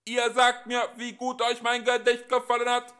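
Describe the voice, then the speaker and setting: A man voicing a pompous, shrieking male alien poet in a drawn-out, grating way. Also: very high voice, completely flat delivery, bright, forceful tone, clean tone, voice pushed up. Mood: menacing.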